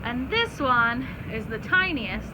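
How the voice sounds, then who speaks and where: A woman's voice: on the high side, very animated, slow. A woman teacher reading aloud to children, stopping to explain simply and put questions to her listeners. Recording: clear background noise.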